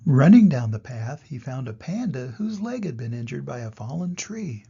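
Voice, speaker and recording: An older man reading a children's story aloud as a grandfather, with expressive, deliberate narration and dramatic emphasis. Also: mid-range voice, creaky, husky, dull audio.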